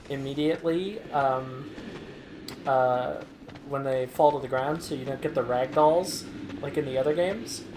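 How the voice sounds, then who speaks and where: A man hosts a casual gaming session and rambles at length, stopping and starting with plenty of ums. He sits at an average pitch in an echoey room, with a clear hiss of noise underneath. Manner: rambling, many fillers and restarts. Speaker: let's play host. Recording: roomy mic with audible noise.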